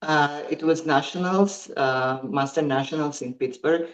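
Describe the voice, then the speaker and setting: A woman answers interview questions on a podcast. She speaks slowly and haltingly, pausing often and filling the gaps with ums and uhs as she searches for her words. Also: sits low. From Croatia. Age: 50s. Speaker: guest athlete.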